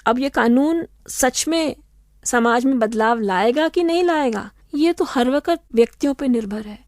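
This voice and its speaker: A woman speaking as an expert guest on a radio interview, calm and methodical as she lays out her points. Her voice sits a little high, and the studio sound is dry and close. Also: no echo. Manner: measured, explanatory, steady.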